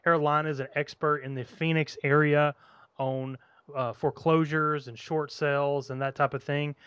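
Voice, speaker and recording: A male host with a smooth, resonant voice that sits slightly high for a man. He sounds upbeat and relaxed and drops in filler words. The recording is dull and dry. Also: moderate pace, dry recording. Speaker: podcast host. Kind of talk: phone interview host.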